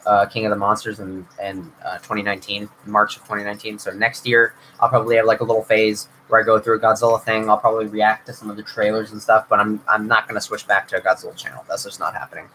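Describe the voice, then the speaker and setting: A man's voice: on the low side, level tone, quick pace, hardly any pauses, bright, forceful tone, a little hiss or hum, poor audio. A young man hosting his own channel, answering viewer questions in a casual livestream, rambling with lots of restarts. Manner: rambling, filler-heavy, frequent restarts. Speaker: YouTube channel host.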